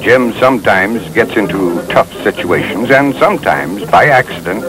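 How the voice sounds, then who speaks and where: A man's voice: lowish voice, lively intonation, normal speed, low-quality recording. A man delivering scripted narration for an old training film. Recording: old film soundtrack under loud music.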